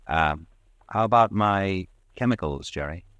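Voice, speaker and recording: A sardonic jaded columnist. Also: male voice, deep voice, animated, a little slow, a bit bright, clean tone, no echo. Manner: dry, sardonic. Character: weary cynical male newspaper columnist. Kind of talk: 1940s radio acting.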